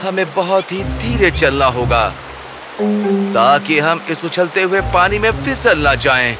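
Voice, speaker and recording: A man's voice: fairly high pitch, lively intonation, music in the background, close to an everyday voice. A man playing a hard-working, scolding merchant in a cartoon fairy tale, in a theatrical, exclamatory way. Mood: earnest. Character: hard-working salt merchant, scolding his lazy donkey.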